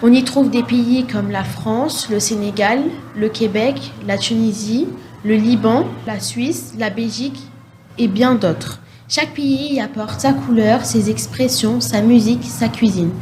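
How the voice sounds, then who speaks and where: A woman with a somewhat high voice presents a radio program, reading her script in a clear, measured way. A steady noise runs under her voice.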